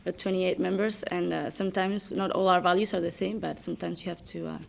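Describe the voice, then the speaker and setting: Creaky, low-voiced young woman. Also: steady tone, brisk, a little hiss or hum. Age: 20s. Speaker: student interviewee. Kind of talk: interview answer.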